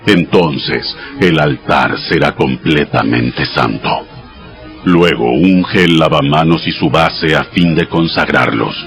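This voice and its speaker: Ageless God in audio scripture drama, very deep, solemn, commanding, strong music underneath. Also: male voice, takes it slowly, full character voice. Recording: loud music bed under voice.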